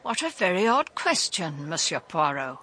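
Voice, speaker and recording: A woman's voice: on the low side, sing-song, normal speed, sharp tone, slight background noise. A woman playing a genteel, guarded elderly country lady in a radio drama, speaking with emphatic propriety. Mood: guarded, upset. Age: older.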